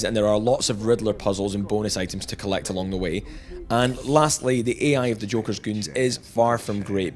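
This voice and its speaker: A man narrating a scripted video game review with jokey, chuckling asides. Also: fairly low pitch.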